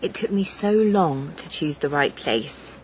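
Earnest, wounded Englishwoman, fairly low voice. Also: expressive, measured pace, slight background noise, poor audio. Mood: wistful, earnest. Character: earnest, wounded, stubborn spiritual woman fighting for her land. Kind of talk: naturalistic radio drama.

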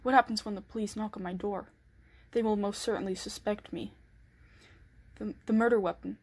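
Husky female actor as anxious killer with secrets, dramatic first-person storytelling. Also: medium pitch, fairly even intonation, moderate pace, lightly acted. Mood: panicked. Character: anxious, secretive 1912 killer snowed in at home.

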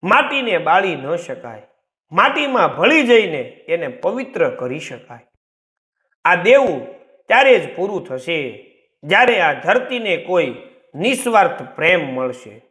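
A man voicing a tender, suffering, self-sacrificing village mother in a story, speaking slowly and solemnly. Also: sits high, sing-song, pushed and bright, reverberant, heavily acted. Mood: weary, loving.

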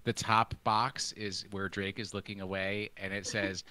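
A man's voice: lowish voice, flat delivery, unhurried, pushed and bright. A man hosting a casual podcast over a video call, chatting and reading out a listener's email with laughing, self-deprecating asides. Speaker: podcast host.

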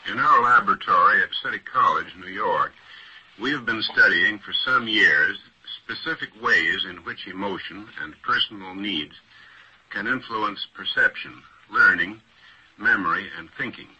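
Low male professor, very noisy radio recording. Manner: measured, formal. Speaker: academic researcher guest, professor. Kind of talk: formal prepared radio statement.

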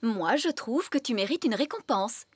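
A woman voicing a kindly but firm fairy nanny in a children's cartoon dub, instructive and gently admonishing. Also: on the high side, lively intonation, moderate pace, slightly rough, no echo.

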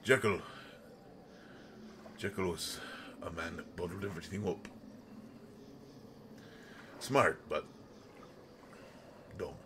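A man playing a brutish, slow-witted but protective monster in an audio roleplay, speaking in halting phrases that swing from hushed to loud. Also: sits low, background noise. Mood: brooding, confiding. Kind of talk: intimate dramatic audio roleplay monologue.

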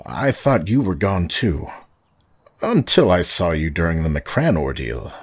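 An actor playing a remorseful middle-aged father, a starship captain, with a husky voice in an audio drama. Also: low voice, very animated, measured pace, full character voice.

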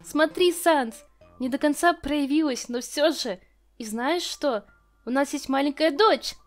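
A woman's voice: sits high, unhurried, faint music behind. A woman voicing a nerdy, shy female lizard scientist in a fan comic dub, giggly and hesitant. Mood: playful.

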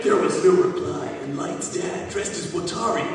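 A man's voice: high-pitched, moderate pace. A man giving an exaggerated comic dramatic reading as a convention panel performer. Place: large convention hall, before an audience. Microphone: distant audience camera. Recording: echoey hall from far off, noisy audience.

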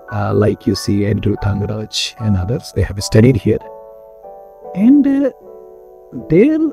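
Gravelly man, halting. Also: mid-range voice, dark tone. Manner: halting, hesitant phrasing. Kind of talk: documentary interview. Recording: music bed under speech.

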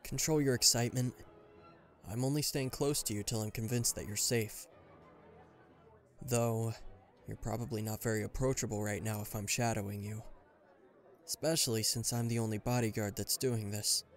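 A man's voice: fairly low pitch, fairly even intonation, normal speed, faint music behind, some chatter behind, natural voice. A man playing an aloof, reluctantly caring bodyguard in a roleplay audio drama, talking to the listener in a dry, guarded, mildly exasperated way. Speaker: voice actor.

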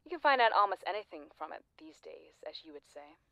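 An actress playing a lonely, persistent former classmate, probing and wryly blunt, in a naturalistic audio drama. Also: female voice, medium pitch, sing-song, fast, no room sound, dull audio, lightly acted.

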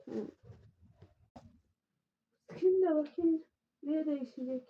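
A young woman talking through each step of her game in a casual, chatty way, with sudden excited bursts. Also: high-pitched, slow pace, narrow, tinny audio. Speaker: player narrating her game. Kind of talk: casual gameplay roleplay narration.

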